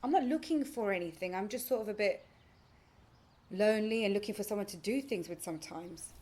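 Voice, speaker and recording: A woman's voice: medium pitch, brisk, warm tone, natural voice. A woman playing a lonely, earnest would-be girlfriend in a naturalistic audio play, speaking tentatively and pleadingly. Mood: gently pleading. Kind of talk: naturalistic audio drama acting.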